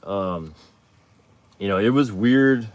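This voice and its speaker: A man's voice: fairly low pitch, slow pace, dry recording, highs cut off. A man, chatting casually as host of his own livestream, rambling and reading viewer questions aloud. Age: 30s.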